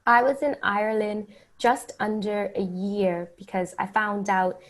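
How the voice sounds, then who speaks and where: Woman from the bahamas chatting as an interview guest, normal pitch, tells stories kinda animated. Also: a little slow. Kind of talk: casual interview storytelling.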